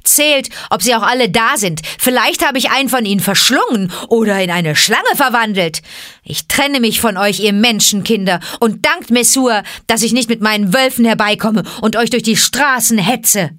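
A woman's voice: fairly high pitch, animated, normal speed, a bit bright, no room sound, studio quality, pitched above the performer's own voice. A woman voicing a proud, defiant young boy raised by wolves in a storybook reading, calling out urgently. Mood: scornful.

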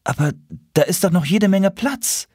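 A man's voice: high voice, lively intonation, moderate pace, darkish tone, husky, no room sound, studio quality, voice pushed up. A man voicing a timid, hopeful colorful bird who speaks politely and a little hesitantly.

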